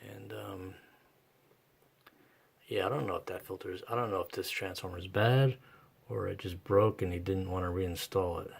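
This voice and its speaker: A man narrating his own hands-on repair work casually and unscripted, thinking aloud in a halting way. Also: sits low, steady tone, creaky, husky. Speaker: host of a radio repair vlog.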